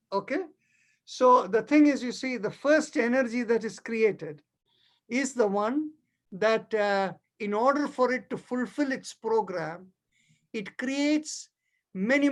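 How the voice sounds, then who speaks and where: A middle-aged man from India with an Indian accent and Tamil as his first language, teaching yoga philosophy in a didactic, explanatory way and checking that listeners follow. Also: very high-pitched. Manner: didactic, checks understanding with short tag questions. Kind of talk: explanatory spiritual lesson.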